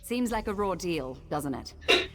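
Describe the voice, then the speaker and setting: A guilt-ridden exiled sea captain for a video game, speaking in a low, grim register. She sounds remorseful and heavy with regret. A faint hiss lingers under her voice. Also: lively intonation, measured pace, a bit bright.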